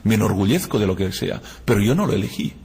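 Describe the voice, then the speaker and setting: A man with a husky, slightly breathy voice, a native Catalan speaker, gives a university lecture at a lectern microphone. He reasons in a reflective way, building his points through analogies and tag questions. His voice rings with the long reverb of a large lecture hall. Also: on the low side, expressive, quick pace, darkish tone. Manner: reflective, explains with analogies and rhetorical "¿no?". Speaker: guest lecturer.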